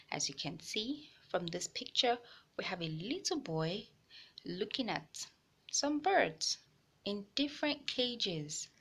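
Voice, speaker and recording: A woman hosting a children's read-aloud, narrating a storybook with deliberate phrasing for young listeners. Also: mid-range voice, bright, forceful tone.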